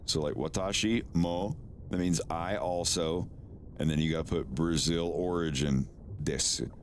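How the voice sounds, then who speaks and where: A male streamer chats loosely with his viewers in a very low voice. He talks slowly, stammers and repeats words. A faint hiss sits behind him. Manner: relaxed, stammers and repeats words, swears casually. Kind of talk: casual live-stream chat while doing language lessons.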